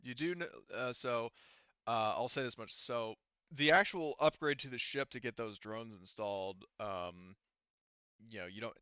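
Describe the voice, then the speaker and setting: A man with a forceful, clear voice talks players through a tabletop roleplaying session as game master. He explains in a casual, sweary way. The sound is very dry and slightly dull, as from a close mic. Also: mid-range voice, a little slow, dry recording.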